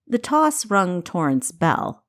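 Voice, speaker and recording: A woman reading scripted story narration for an audiobook, with a dramatic, deliberate delivery and careful enunciation. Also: on the low side, very animated, a bit bright.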